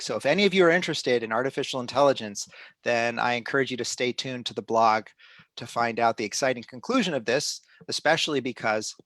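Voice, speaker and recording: A middle-aged man hosting a live online stream, explaining the project in a relaxed way with many restarts and fillers. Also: mid-range voice, no echo. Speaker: host of a live citizen-science stream.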